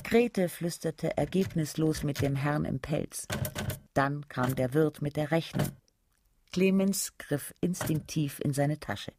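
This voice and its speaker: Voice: mid-range voice, takes it slowly, noisy background. A narrator reading literary prose for a radio play in a scripted, storytelling style.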